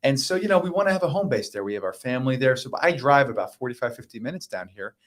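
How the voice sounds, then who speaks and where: A man's voice: medium pitch, expressive, fast. A man in his thirties whose first language is Croatian, a pain doctor chatting as a podcast guest, enthusiastic with many fillers and restarts. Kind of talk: casual podcast conversation.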